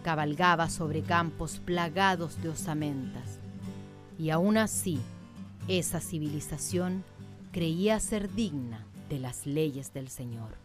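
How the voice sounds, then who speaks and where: A woman with a rather low voice narrates a radio drama. Her delivery is scripted, literary and solemn, like a storyteller's, and music plays clearly behind her. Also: slow.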